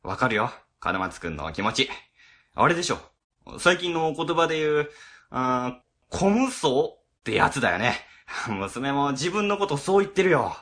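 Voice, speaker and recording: Man acting an animated, easily flustered office boss. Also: mid-range voice, a little slow. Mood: chatty, clueless. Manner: chatty, easily flustered, ingratiating. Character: flustered, two-faced middle-aged male civil servant boss. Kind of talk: comic web radio drama acting.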